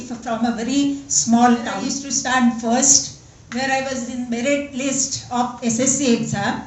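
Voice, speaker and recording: A woman in her seventies from Western Maharashtra, Marathi-accented, giving an earnest, emphatic conference speech as a senior doctor. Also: sits high. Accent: Indian English, Marathi. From Western Maharashtra, India. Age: 70s. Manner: earnest, emphatic, repeats for stress. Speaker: guest speaker, senior doctor. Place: large conference hall. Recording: echoey hall, voice from far off.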